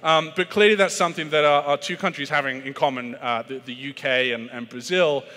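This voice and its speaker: A British man delivers a conference keynote in a bright, forceful voice pitched a bit high. He is chatty and self-deprecating, stumbling into fillers and restarts. A long reverb trails his words around the large hall. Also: a little fast. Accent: British English. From Britain. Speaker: keynote speaker on sales.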